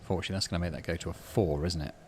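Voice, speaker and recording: A man's voice: low-pitched, fairly even intonation, rapid-fire, darkish tone. A man hosting a gaming playthrough, musing aloud casually and reading game text as he goes. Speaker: gaming channel host. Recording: faint background noise.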